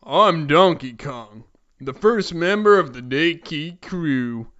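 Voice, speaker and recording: A man's voice: on the high side, animated, slow pace, a bit bright, dull audio. Man as a goofy, boastful big ape. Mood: proud. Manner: goofy, proud, casual. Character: big, easygoing, boastful talking male ape.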